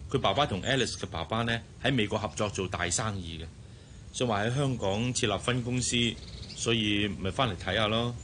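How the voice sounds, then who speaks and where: An actor playing a smitten, insecure boss who talks hesitantly and earnestly in a Hong Kong Cantonese radio drama. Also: male voice, fairly low pitch, brisk, fairly bright tone, slight background noise, lightly acted. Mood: matter-of-fact. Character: smitten, insecure boss courting his young secretary.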